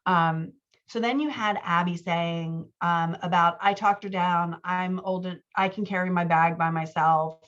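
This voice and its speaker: A woman's voice: fairly low pitch, fairly bright tone, lo-fi sound. A woman giving informal one-on-one writing feedback as a coach, explaining her edits and acting out example lines.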